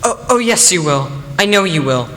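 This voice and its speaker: A wounded, impassioned young suitor played by a male student in a radio play. His voice is high and sharp-edged. It carries in a reverberant hall with faint noise. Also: animated, moderate pace. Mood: bitter, wounded. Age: teenage student. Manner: impassioned, dramatic.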